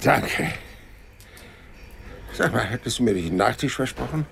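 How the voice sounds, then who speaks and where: A man's voice: medium pitch, animated, normal speed, vocal fry, background noise. An actor playing an unflappable, sly hundred-year-old man in an audio drama, telling his story in a dry, matter-of-fact, colloquial way. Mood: wry, matter-of-fact. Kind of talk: audio drama first-person narration and dialogue. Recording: radio drama production with music.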